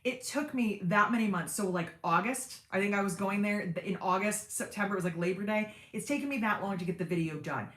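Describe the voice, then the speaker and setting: A woman hosting a casual sewing livestream, reading viewer comments and chatting with lots of fillers and self-deprecating asides, with a fairly deep everyday voice. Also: brisk, few pauses, fairly bright tone, reverberant. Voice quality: deep speaking voice in everyday talk. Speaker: sewing channel host on a livestream.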